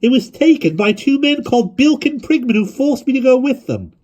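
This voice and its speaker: A man reading aloud, voicing a desperate teenage boy who pleads with a hostile village crowd in a strained, high voice. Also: animated, fairly quick, a little mellow. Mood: indignant.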